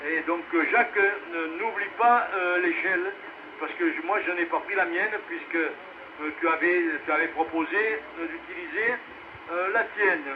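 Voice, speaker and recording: Male net controller, fairly high voice, casual delivery with thinking pauses, clearly noisy radio relay. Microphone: two-way radio transmission. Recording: muffled, noisy VHF amateur radio relay.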